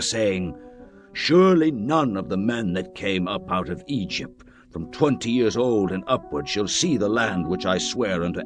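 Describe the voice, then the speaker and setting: An actor playing Moses as a stern male leader rebuking his people, in a solemn, formal scripture dramatization. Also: fairly low pitch. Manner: solemn, admonishing, formal archaic phrasing. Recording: music behind the voice.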